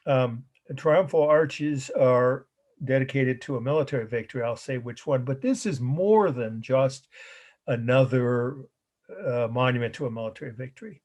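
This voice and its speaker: Casting: middle-aged male art history lecturer, slightly hoarse, halting and rambling, mid-pitched. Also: darkish tone. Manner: rambling, halting with fillers and self-corrections. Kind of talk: recorded class lecture.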